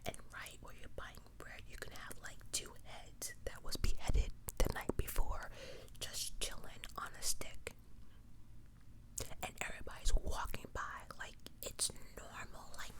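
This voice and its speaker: A woman hosting ASMR in a breathy whisper, telling a true crime story with chatty, informal commentary and words repeated for emphasis. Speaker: ASMR host.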